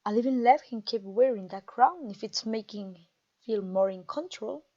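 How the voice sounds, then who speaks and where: A woman playing a haughty, mocking vampire queen in a theatrical ASMR roleplay, pompous and teasing, with drawn-out phrasing. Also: a little high, dark tone, lo-fi sound. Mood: coolly threatening. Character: haughty, mocking, conquering vampire queen taunting her captive. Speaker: audio roleplay voice actor.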